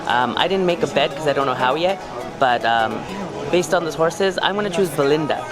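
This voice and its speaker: A young man with a hoarse voice from a cold, chatting loosely through a travel vlog with lots of fillers. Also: fairly high pitch, animated, fairly quick, over music, crowd noise. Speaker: vlogger. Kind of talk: casual travel vlog narration.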